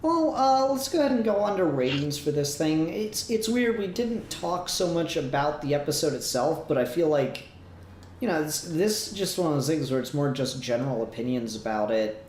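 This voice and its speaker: A man's voice: fairly high pitch, expressive, normal speed, a little mellow. Man in casual podcast chat, echoey room. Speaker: podcast co-host. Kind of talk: casual review discussion. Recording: roomy echo, noticeable background noise.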